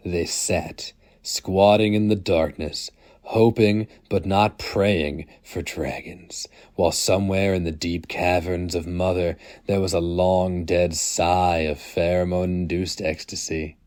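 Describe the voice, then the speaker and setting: A man narrating a dark story as a dramatic reading, with slow, somber, deliberate delivery. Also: low voice, steady tone.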